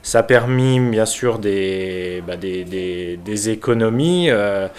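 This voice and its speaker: A man from France who is a local mayor, giving an unscripted explanation in a halting, hesitant manner with frequent pauses. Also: fairly low pitch, expressive, a little hiss or hum. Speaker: village mayor and local councillor.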